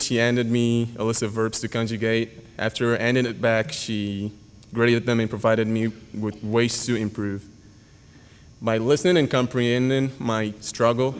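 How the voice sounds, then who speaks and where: A young man, a graduating senior whose first language is Yoruba, reading a formal, earnest speech at a podium with halting pauses and fillers. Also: medium pitch, smooth. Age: young adult. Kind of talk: prepared speech read at a podium. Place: school auditorium. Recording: hall reverb.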